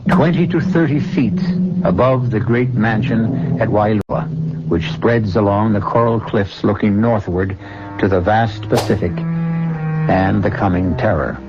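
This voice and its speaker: A man hosting a radio drama, delivering scripted dramatic narration in a grave, portentous, measured manner. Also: fairly low pitch. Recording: dull old radio recording with music behind.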